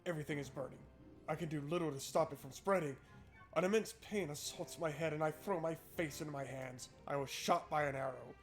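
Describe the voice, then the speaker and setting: A man hosting a let's play, chatting informally and playfully and reading game text aloud. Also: on the high side. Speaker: gaming video host. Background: game music.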